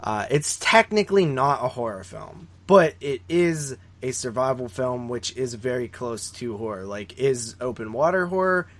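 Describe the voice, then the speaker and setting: A man with a medium-pitched voice chats casually as a podcast co-host. He rambles through his opinions on films and tends to repeat words when he wants to stress a point. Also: lively intonation, normal speed, hardly any pauses, fairly bright tone. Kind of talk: casual conversational film discussion.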